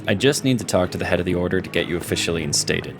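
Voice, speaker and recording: An actor playing a businesslike male mentor of a fantasy order in an audio drama, brisk and purposeful. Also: low voice, expressive, over music.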